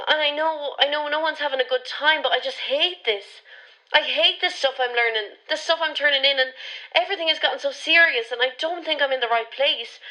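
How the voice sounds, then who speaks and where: An actress playing a frustrated, disillusioned young student venting with self-doubt in a radio play voicemail. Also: female voice, high-pitched, bright, forceful tone, low-quality recording. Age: young adult.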